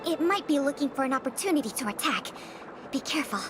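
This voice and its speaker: An actress voicing a prim, cautious young female intelligence officer in an anime-style game, speaking in an earnest, precise, crisply formal way. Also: high-pitched, animated, quick pace, background noise. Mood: cautious, serious.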